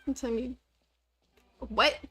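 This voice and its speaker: A woman's voice: on the high side, steady tone, slow, pushed and bright, breathy edge, no echo, faint music behind. A woman chatting casually on a gaming livestream, rambling along with the odd swear word.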